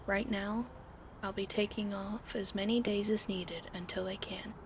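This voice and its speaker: Whispering woman with a gravelly edge. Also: medium pitch, steady tone, measured pace. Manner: soft, soothing, coddling whisper. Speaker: ASMR roleplay narrator. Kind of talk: whispered ASMR roleplay. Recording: audible fan hum in background.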